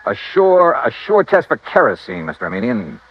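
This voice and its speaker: An actor playing a brisk, confident, wry insurance investigator in 1950s radio style, with clipped delivery. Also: male voice, medium pitch, huge pitch swings, breathy edge. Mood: coolly challenging. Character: brisk, hard-boiled male freelance insurance investigator. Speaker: lead actor in a radio detective drama. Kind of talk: 1950s radio acting, first-person narration and dialogue. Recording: muffled old radio recording.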